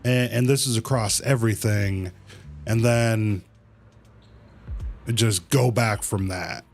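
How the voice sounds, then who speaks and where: A man hosting a livestream, chatting casually with viewers in a halting way, thinking aloud and repeating his phrases. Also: on the low side. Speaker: livestream host. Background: music.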